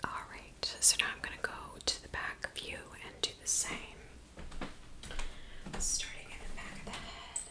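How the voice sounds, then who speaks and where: A woman whispering slowly and softly as a Reiki practitioner, guiding the listener through an ASMR energy session. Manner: slow, soft guiding whisper.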